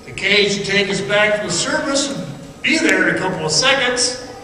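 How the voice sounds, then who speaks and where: An actor playing a haunted man grimly recalling an ordeal, in halting, suspenseful radio-drama narration. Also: high voice, expressive, bright, forceful tone. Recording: echoey distant radio play recording, faint hiss.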